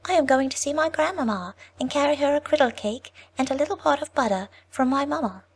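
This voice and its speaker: An innocent little country girl from a fairy tale, piping high, put on by a woman reader. Her lines rise and fall in a wide, sing-song lilt. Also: animated, a little fast.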